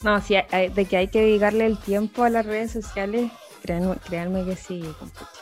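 A woman's voice: mid-range voice, steady tone. Chilean woman host, chatty n slangy, midrange voice, faint music. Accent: Chilean Spanish. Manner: chatty, warm slang, quick follow-up questions. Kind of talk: casual interview chat.

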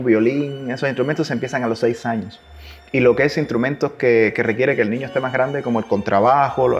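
A man from Cuba with a Cuban accent touched by Chilean, telling stories in a relaxed interview, with restarts and laughs. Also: mid-range voice, dull audio. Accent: Cuban Spanish with Chilean traces. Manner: warm anecdotal telling, restarts, laughs at his own stories. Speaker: interviewee, double bass player. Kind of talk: relaxed conversational storytelling.

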